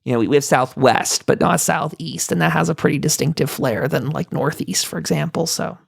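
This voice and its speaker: A podcast host with a creaky, mid-pitched voice moderates a casual gaming roundtable. The delivery rambles as the thoughts form, in a dry, close recording. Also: fairly quick, dry recording. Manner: rambling, thinking aloud. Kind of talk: casual roundtable discussion of video games.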